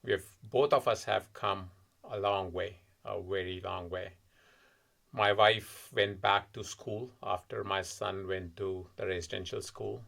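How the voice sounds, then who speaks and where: A man in his forties from India, with an Indian accent, a podcast guest recounting his family's story in a measured, reflective way. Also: low-pitched, steady tone, gravelly, a bit bright. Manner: measured, reflective, frequent uh fillers. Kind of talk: personal storytelling in interview.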